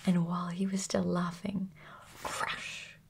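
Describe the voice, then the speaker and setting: A woman reading a children's bedtime story in a hushed, gentle, unhurried ASMR whisper. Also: lowish voice, steady tone, a little hiss or hum.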